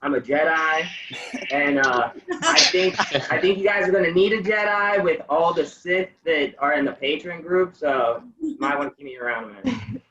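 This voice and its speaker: A man's voice: on the high side, expressive, moderate pace, gravelly, dull audio, noisy background. A man in his thirties introducing himself playfully with jokes over a video call. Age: 30s. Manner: playful, joking.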